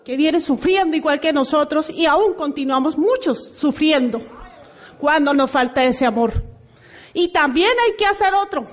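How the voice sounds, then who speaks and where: A woman from Colombia sharing a reflection at a recovery meeting, earnest and exhorting. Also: sits high.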